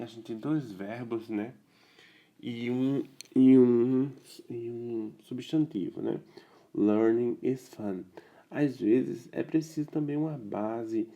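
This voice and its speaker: Male tutor, dark gravelly tone, easygoing explainer who keeps checking in. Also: mid-range voice. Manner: relaxed explaining, checks in with "né?" and "tá certo?". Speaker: self-taught English tutor. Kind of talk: casual video lesson.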